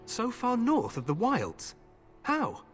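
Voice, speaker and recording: A man's voice: extremely high pitch, huge pitch swings, slow, faint music behind, full character voice. An actor playing a jovial, overconfident young king in a fantasy video game, with a hearty, regal manner. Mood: cheerful, welcoming.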